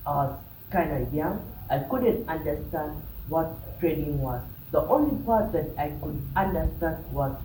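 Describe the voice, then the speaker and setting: A man's voice: fairly high pitch, dark tone. Young South Sudanese man, distant echoey recording. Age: young adult. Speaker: vlogger telling his trading journey. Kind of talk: personal storytelling to camera. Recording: distant mic, roomy echo, background hiss.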